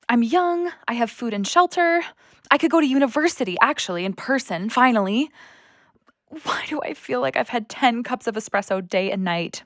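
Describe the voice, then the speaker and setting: A woman's voice: sits high, no echo, studio quality. A young woman playing a restless, anxious daughter who longs for adventure, acting earnestly in an audio play. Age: young adult.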